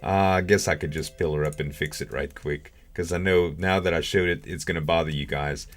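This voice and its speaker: A man's voice: rumbling low, fairly even intonation, fairly quick, soft background music. A man giving casual gaming commentary as a video host, rambling and thinking aloud.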